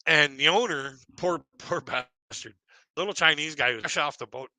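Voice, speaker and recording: A middle-aged man telling self-deprecating comic stories on a live stream in a rambling, halting way. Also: pushed and bright, no echo, rough recording. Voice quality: deep voice, by his own account. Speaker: stand-up comedian and live streamer.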